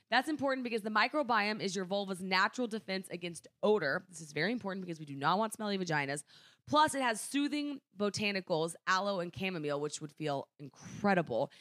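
A woman's voice: mid-range voice, a bit bright, no room sound, clean studio sound. A young woman co-hosting a podcast in casual chat, blunt and teasing, also reading an ad with jokes mixed in. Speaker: podcast co-host. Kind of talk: casual chat and host-read ad.

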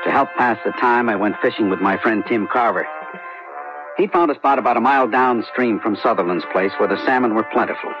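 A man's voice: medium pitch, a little fast, lightly acted. A man playing a suspicious, dogged small-town sheriff who narrates his own story in a vintage radio drama. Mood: brooding. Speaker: actor and first-person narrator. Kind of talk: late 1970s radio drama acting. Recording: dull vintage radio recording, loud music behind.

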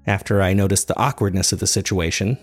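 A man reading scripted first-person dramatic narration in a measured storytelling manner. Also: deep voice, fairly even intonation, faint music behind. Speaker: narrator of a serial fiction podcast.

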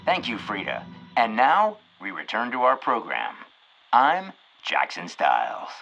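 A man's voice: fairly low pitch, huge pitch swings, measured pace, gravelly, exaggerated voice. A man playing a slick, peppy old-time radio show announcer, heard through an old radio filter. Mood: slick, upbeat. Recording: dull old radio sound over music.

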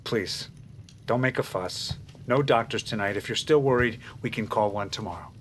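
An actor playing a proud, ailing president who sounds weary and wounded, in intimate audio drama dialogue over music. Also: male voice, fairly low pitch, moderate pace, slight background noise, lightly acted. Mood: frail, tender.

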